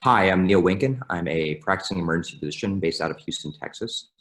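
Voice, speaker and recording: Deep-voiced male doctor, calm measured testimonial. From Florida. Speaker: emergency physician. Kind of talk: video testimonial.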